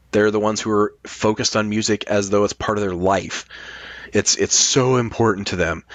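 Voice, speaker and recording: A man's voice: fairly low pitch, dry recording. A middle-aged American man in casual, opinionated chat, making his points emphatically and swearing freely.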